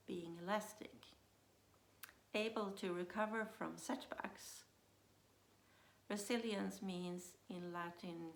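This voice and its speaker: Swedish-accented woman coach, gravelly, echoey room. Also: on the low side, a bit bright. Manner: unhurried, thinks aloud with many uh fillers and restarts. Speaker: self-leadership coach. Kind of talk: casual talk to camera.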